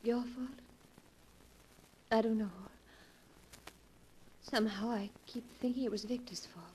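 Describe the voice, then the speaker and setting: A woman's voice: a little high, fairly even intonation, measured pace, mellow, a little hiss or hum, natural voice, poor audio. An actress as an earnest, worried young woman. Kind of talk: 1940s radio acting.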